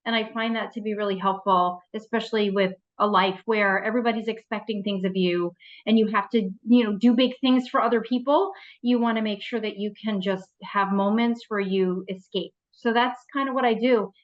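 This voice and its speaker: A woman's voice: mid-range voice, fairly even intonation, normal speed. An American woman from New Jersey sharing casually on an online call with dull, lo-fi sound. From New Jersey, United States. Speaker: community member sharing.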